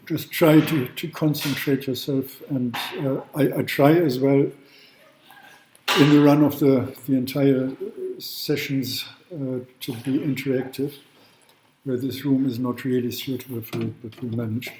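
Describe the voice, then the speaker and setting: A man from Germany, a law professor giving a university lecture in a halting way, with restarts and throat clearing. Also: medium pitch, fairly even intonation, noisy background. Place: large classroom. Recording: echoey room.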